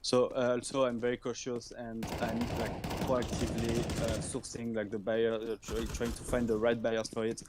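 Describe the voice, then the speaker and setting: Male auction specialist, monotone, stop-start phrasing, noisy line. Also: mid-range voice. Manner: halting, many "like" fillers and restarts. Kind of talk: live audio chat. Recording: noisy stream audio.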